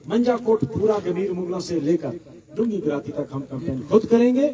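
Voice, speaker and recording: Middle-aged guy giving a rally speech, kinda high voice, sounds far from the mic. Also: dark tone, dull audio. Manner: storytelling, rising to emphatic rallying cries. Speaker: political candidate giving a public address. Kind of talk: impassioned political speech. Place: outdoor public gathering.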